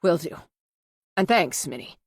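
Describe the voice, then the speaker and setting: Audiobook role: awkward, earnest man, plain-spoken and self-conscious, lowish voice, read by a woman. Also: animated, a little slow, no room sound, clean studio sound.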